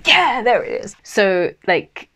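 A woman hosting her own podcast in a free-flowing, unscripted chat, laughing often and pausing now and then with a slight stammer. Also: mid-range voice, very animated, a bit bright. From Nigerian family, Yoruba heritage. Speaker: podcast host.

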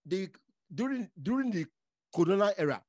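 A man's voice: high voice, sing-song, moderate pace, a little mellow, no room sound, clean studio sound. A man from Nigeria whose first language is Igbo, hosting a podcast, who interrupts, repeats words and keeps checking with "okay?". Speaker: podcast host.

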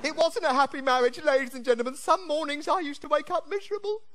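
A man's voice: very high voice, fast talker, heavy reverb. A man with an English accent playing a cheeky, poor widowed pantomime dame, delivering theatrical comic patter to an audience. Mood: comic grumbling. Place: theatre stage, recorded from the audience. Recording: distant noisy stage recording with audience.

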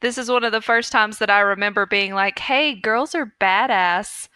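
A woman's voice: mid-range voice, normal speed, sharp tone, clean tone, dry recording, studio quality. A young woman co-hosting a podcast in casual, informal, slangy conversation. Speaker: podcast co-host. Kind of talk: casual chat.

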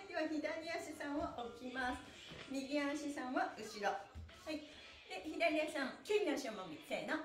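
A middle-aged woman instructor leading a live stretching class, cheerful and playful, chanting in rhythm as she moves. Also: sits high. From Japan. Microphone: camera across the room. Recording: echoey small room.

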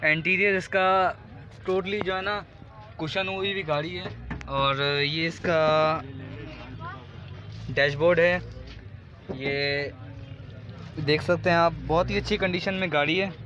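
A man's voice: a little high, steady tone, slow pace, pauses often, pushed and bright, highs cut off. A man with a Karachi Urdu accent, filming a walkaround of cars at an outdoor car bazaar on a handheld phone, repeating prices and details back as he asks sellers questions. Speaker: vlogger touring a car market. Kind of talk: casual walkaround car review.

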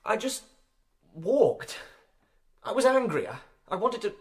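Emotional young Englishman, halting, slow, high voice, hot-headed, radio drama. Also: big pitch swings. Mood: halting, upset. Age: young adult. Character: hot-headed, distraught young man under questioning.

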